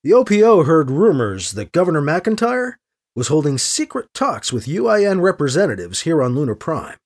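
A man's voice: fairly high pitch, big pitch swings, normal speed. A man voicing a wry church agent priest in an audio drama, polite and probing with dry sarcasm. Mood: measured, probing. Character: wry, smooth-talking male priest and church agent, deferential yet sarcastic.

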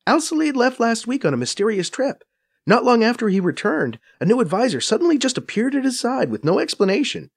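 A man's voice: very high voice, fairly quick, gravelly, voice pushed up, heavily acted. A man voicing a brash, profane female undercover agent who teases and swears freely, in an audiobook. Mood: wry. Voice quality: raised, lively, cocky voice. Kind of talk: audiobook character voice.

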